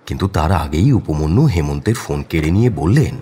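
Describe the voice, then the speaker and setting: A man's voice: a bass voice, lively intonation, fairly quick, dark tone, a little hiss or hum. A man narrating a scripted crime audio story in a dramatic storytelling style.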